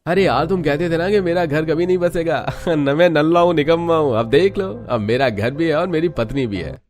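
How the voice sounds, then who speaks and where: A man's voice: fairly high pitch, normal speed, voice pushed up, natural voice. A man voicing a boastful, idle young husband in a cartoon story, brash, self-assured and dismissive.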